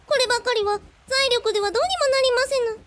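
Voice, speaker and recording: A woman's voice: very high-pitched, fast talker, a bit bright. A woman voicing a precocious genius little girl in a Japanese radio drama, speaking with quaint, very formal, old-fashioned politeness. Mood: earnest, consoling.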